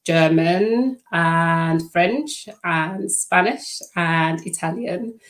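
Middle-aged woman, Dutch native speaker, low-pitched, friendly and halting. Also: low-quality recording. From Netherlands. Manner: friendly, many "um" fillers. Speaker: podcast guest. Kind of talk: casual interview answers.

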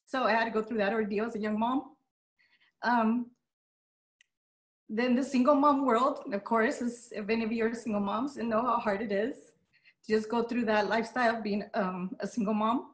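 Woman guest, Spanish as first language, somewhat high voice, candid and hesitant, echoey room. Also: fairly even intonation, moderate pace. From Las Vegas, USA. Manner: hesitant, many ums, candid and emotional. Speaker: podcast guest. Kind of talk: personal storytelling in an interview. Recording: roomy echo.

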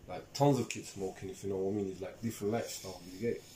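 A man's voice: low-pitched, fairly even intonation, rapid-fire. A young man from Turkey being interviewed, talking in a rambling way that repeats itself, in imperfect English. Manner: rambling, repeats himself, speaks broken English. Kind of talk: casual street interview. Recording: roomy with loud background noise.

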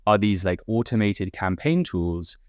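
A man with a British accent, softened by years in America, explaining his startup as a podcast guest in a relaxed way, with many "like"s and "basically"s. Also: deep voice, measured pace, smooth, no echo. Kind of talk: casual podcast interview.

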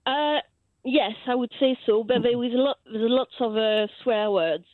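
A woman's voice: on the high side, unhurried, no echo. A woman caller with a French accent, a native French speaker who talks hesitantly and searches for her words. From France. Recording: phone line.

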